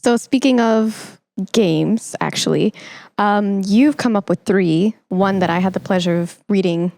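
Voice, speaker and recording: A woman podcast host with a soft, mellow tone and noticeable vocal fry. She chats casually with her guest, engaged and encouraging, in a close, dry recording. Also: mid-range voice, measured pace, no room sound. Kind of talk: casual interview chat.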